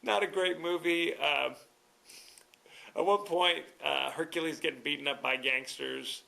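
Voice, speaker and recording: A man's voice: on the high side, fairly even intonation, measured pace, vocal fry, sharp tone, low-quality recording. A man hosting a movie podcast alone, talking casually in a wry, rambling way with chuckling asides. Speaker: podcast host. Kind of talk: casual solo movie review.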